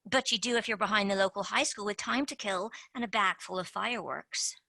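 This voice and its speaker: A woman playing a pushy, scheming young female tooth fairy agent in a stage play, fast-talking and dropping into conspiratorial whispers. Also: mid-range voice, vocal fry, pushed and bright, no echo. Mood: breezy. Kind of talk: amateur stage-play acting.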